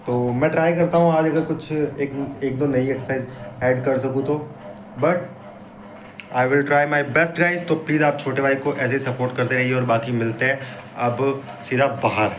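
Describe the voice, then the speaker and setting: A young man with a hoarse voice and a blocked nose, giving an upbeat pep talk to viewers in a casual fitness vlog. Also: medium pitch, fairly even intonation, a little slow, poor audio. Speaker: fitness vlogger. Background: street traffic and wind.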